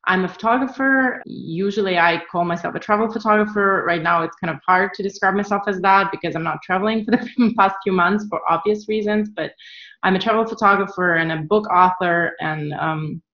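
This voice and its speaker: A woman's voice: mid-range voice, fairly quick, echoey room, lo-fi sound. A woman in her thirties from Ukraine, answering interview questions casually with plenty of fillers and restarts. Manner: relaxed, frequent fillers and restarts. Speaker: guest, travel photographer.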